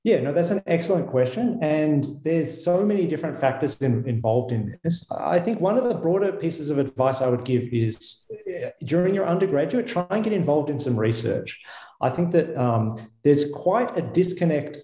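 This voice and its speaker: A man's voice: on the high side, lively intonation, normal speed, mellow, echoey room. A man with an Australian accent, a visiting physicist, answering questions on a radio show in a thoughtful way, with frequent fillers and restarts. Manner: thoughtful, self-correcting, many fillers. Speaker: guest physicist.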